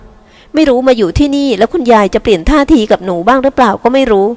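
A sensitive, moody young sister, played by a woman reading a novel aloud. She sounds sulky and withdrawn, a little higher than the narrator's own voice, as a figure in a spoken storybook. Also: fast, darkish tone, close to an everyday voice.

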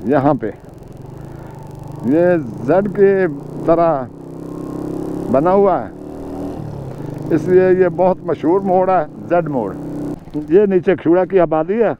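An older man with a Pakistani Urdu accent, riding a motorcycle on mountain roads and chatting as a guide, pointing out sights and reciting short prayers. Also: high-pitched. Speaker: moto vlogger. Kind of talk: casual travel commentary while riding. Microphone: helmet or bike-mounted camera mic. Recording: muffled camera audio with clear engine and wind noise.

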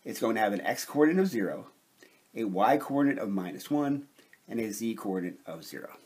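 A man with a slightly husky voice giving a measured, methodical lecture, explaining step by step as an instructor. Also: fairly low pitch, expressive, a little mellow. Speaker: chemistry instructor.

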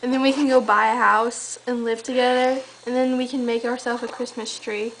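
High-voiced young woman teasing on a call. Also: level tone, fairly quick, fairly bright tone. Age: young adult. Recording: noisy amateur home camera recording.

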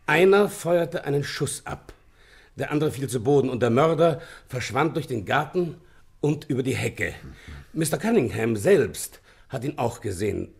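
A man's voice: mid-range voice, creaky, breathy edge, full character voice. A man playing a polite, businesslike police inspector in a German radio play, deferential and matter-of-fact as he reports.

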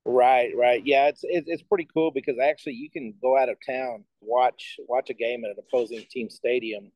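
A man's voice: mid-range voice, steady tone, moderate pace, a little mellow, low-quality recording. A middle-aged man chatting casually as an interview guest on a video call, explaining his restaurant business. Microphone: video call, device at about arm's length.

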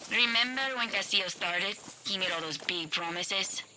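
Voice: mid-range voice, moderate pace, pushed and bright, a little hiss or hum. An actor playing a dry, matter-of-fact hacker ally in a video game.

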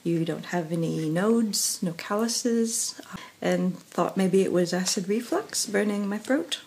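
A young woman speaks slowly into a phone held at arm's length, her weakened voice husky and breathy. She stops and starts, fills gaps with little hesitations, and lets small laughs slip in between. Her pitch sits in an ordinary middle range. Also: animated, low-quality recording. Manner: halting, many fillers and pauses, soft laughs. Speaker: professional singer vlogging. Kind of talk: casual personal vlog. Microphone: phone camera at arm's length.

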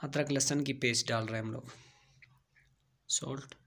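A man's voice: mid-range voice, steady tone, normal speed, gravelly, fairly bright tone, a little hiss or hum. A man narrating a home cooking tutorial, giving plain step-by-step instructions and repeating key phrases. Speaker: home cooking channel host.

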